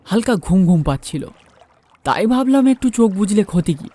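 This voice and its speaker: A man's voice: high-pitched, lively intonation, normal speed, mellow. A man telling a horror story as a storyteller, in dramatic first-person narration.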